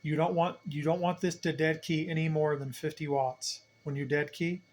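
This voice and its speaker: A man's voice: a little high, flat delivery, normal speed. A man giving an unscripted hands-on equipment tutorial, plain-spoken and explaining step by step, repeating key points. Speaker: hobbyist demonstrator, channel host.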